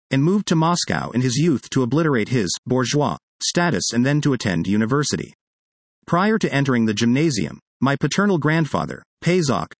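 A man reading a published article aloud in an earnest, measured way, now and then correcting his own pronunciation of place names. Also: mid-range voice, no room sound. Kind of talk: read-aloud article narration.

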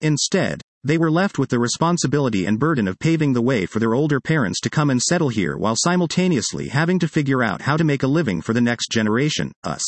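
Lively man reading an article aloud, dry sound. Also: mid-range voice, quick pace, hardly any pauses, dry recording. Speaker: reader of a written article. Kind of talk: scripted article narration.

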